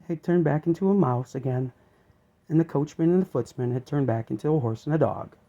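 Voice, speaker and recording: A man reading a children's story aloud as an expressive narrator. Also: medium pitch.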